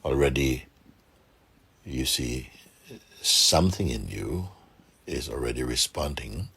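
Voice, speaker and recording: A man guiding a meditation for a group, speaking softly and calmly with gentle pauses and a husky, breathy voice. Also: extremely low pitch. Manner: unhurried, gentle pauses, calm instruction. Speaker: meditation guide. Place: room with a seated group, doors closed.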